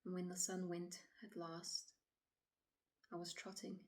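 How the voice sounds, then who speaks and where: A woman acting a shaken city woman in a dramatic stage monologue, pleading her account to police in a halting, often hushed voice. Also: lowish voice, completely flat delivery, lightly acted. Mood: anxious, dread. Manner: halting, hushed, often breathy near-whisper.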